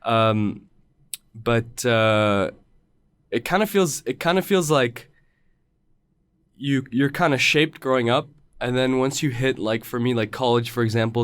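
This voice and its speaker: Smooth-voiced man musing thoughtfully. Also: mid-range voice, no echo. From United States. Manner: thoughtful, halting, many restarts. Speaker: podcast guest, filmmaker. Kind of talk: reflective casual conversation.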